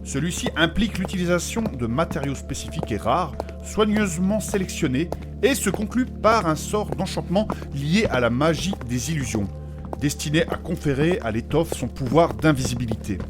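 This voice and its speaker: A man's voice: fairly high pitch, lively intonation, moderate pace, music in the background, natural voice. A man playing a courteous, learned mage in a fantasy audio drama, speaking in formal, precise, long-winded sentences. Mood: explanatory, earnest. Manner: formal, precise, pedantic phrasing with polite address.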